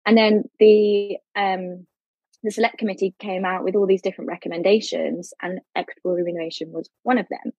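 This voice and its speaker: A woman's voice: mid-range voice, steady tone, a little fast, no echo, highs cut off. A woman, a legal academic, explaining policy with everyday analogies and frequent fillers in a podcast discussion.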